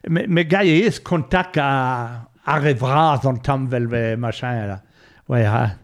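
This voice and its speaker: A man's voice: mid-range voice, takes it slowly. A man chatting casually in conversation.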